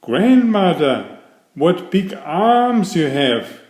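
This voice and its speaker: A man's voice: high voice, sing-song, drawn-out words, darkish tone, reverberant, voice pushed up, exaggerated voice. A man voicing an innocent, polite little fairytale girl in a wide-eyed, earnest manner. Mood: wondering.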